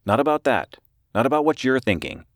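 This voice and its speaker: A man performs an audiobook reporter, nervous and eager to please. His voice is pushed up higher, with hurried, earnest, apologetic phrasing. The sound is close and very dry. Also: expressive, dry recording, close to an everyday voice. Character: nervous, pleading male newspaper reporter on a phone call. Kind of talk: audiobook character dialogue.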